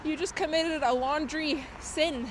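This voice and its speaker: A woman's voice: high voice, steady tone, measured pace, noisy background. Chatty woman streaming outdoors, slightly nasal. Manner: chatty, laughs often. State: recovering from a cold, slightly nasal. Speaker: IRL streamer. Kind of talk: casual live-stream chat reading viewer comments. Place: outdoors on city streets at night.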